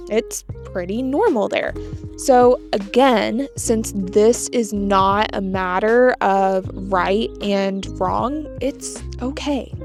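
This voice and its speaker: American woman with light vocal fry. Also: mid-range voice, a little mellow. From United States. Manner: measured, explanatory. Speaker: channel host. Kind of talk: scripted talk to camera.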